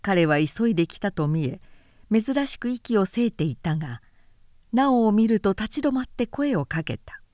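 A female narrator gives a literary audiobook recitation. Her delivery is measured and expressive, at a middling pitch, in a dry, close recording. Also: no echo. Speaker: narrator reading fiction aloud.

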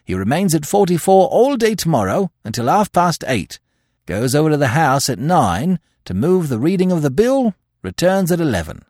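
A man voicing a dutiful police superintendent in an audiobook, reporting in a brisk, emphatic, businesslike way. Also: a little high, sing-song, darkish tone, dry recording, studio quality, voice pushed up.

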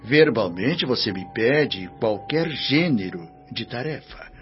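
An actor playing a wise, stern spirit minister in a radio drama, speaking in a formal, measured, didactic way. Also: male voice, medium pitch, animated. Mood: knowing, measured. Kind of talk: radio drama acting, preachy dialogue. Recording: dull lo-fi radio drama over music.

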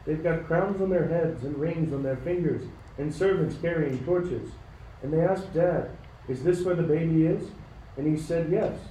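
Casting: man as excited young child, soft dark tone, high-ish, in an echoey amateur radio play. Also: normal speed, natural voice. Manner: eager, exclamatory. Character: excited, breathless, wide-eyed young child. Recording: roomy, distant, faintly noisy recording.

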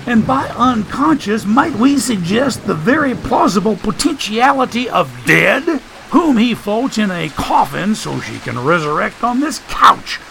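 A man's voice: sits high, big pitch swings, unhurried, gravelly, bright, forceful tone, a little hiss or hum, voice pushed up, exaggerated voice, rough recording. A man voicing a folksy, wisecracking man in an audiobook, full of sarcasm and mock-pompous big words.

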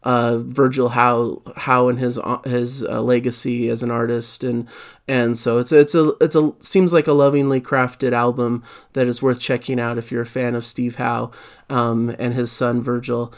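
A man hosting a casual music news roundup in an ordinary, middle-pitched voice. He talks in an easygoing, unscripted way, with frequent ums and restarts. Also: level tone, moderate pace. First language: not Italian. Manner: easygoing, many fillers and restarts.

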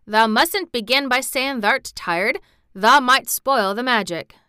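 A gentle, cheerful country boy with a way of speaking, voiced by a woman. His plain rustic talk comes through bright and crisp. The recording is dry and close. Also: a little high, takes it slowly, dry recording, pitched above the performer's own voice. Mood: gently warning. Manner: warm plain rustic speech.

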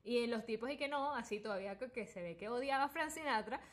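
Slangy Venezuelan woman with a higher voice. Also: quick pace. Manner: animated, slangy, joking. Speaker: podcast host. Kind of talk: casual humorous conversation, telling anecdotes.

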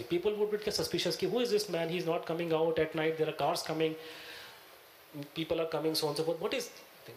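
Punjabi accent guy telling a story in interview, lots of pauses, slightly high voice, roomy echo. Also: a little hiss or hum. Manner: halting, searching for words. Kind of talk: recounting, conversational.

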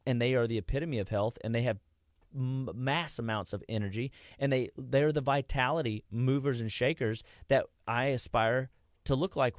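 A man's voice: mid-range voice, no room sound, studio quality. A man, giving a rambling, folksy talk about health as a wellness clinic host. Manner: rambling, folksy, loses his train of thought.

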